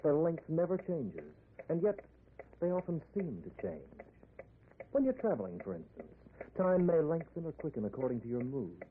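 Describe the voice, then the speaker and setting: An ominous narrator musing like time itself in a 1940s radio drama. His voice is fairly high and portentous, on a tinny recording with faint noise. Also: big pitch swings. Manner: portentous, deliberate.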